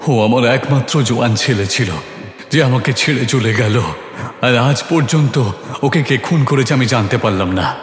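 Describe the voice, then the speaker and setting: A man's voice: mid-range voice, moderate pace, faint music behind, natural voice. A man playing a grieving, secretive middle-aged school principal, grave and commanding, in a horror story. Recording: echoey, long reverb.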